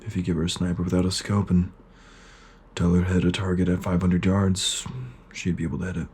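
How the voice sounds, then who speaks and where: Brooding soldier, played by a man, low voice, terse, war-weary sergeant for sci-fi audio drama. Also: flat delivery, brisk, a little mellow, a little hiss or hum, close to an everyday voice. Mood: subdued, reflective. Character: bitter, battle-worn soldier, sergeant of shock troops.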